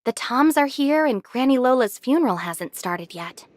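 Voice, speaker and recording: A tactful young woman from an anime game, with a fairly high voice. Her delivery is expressive and guarded, swinging widely in pitch. The recording is dry and close. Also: normal speed, no echo. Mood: calm, placating. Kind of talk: English anime game voice acting.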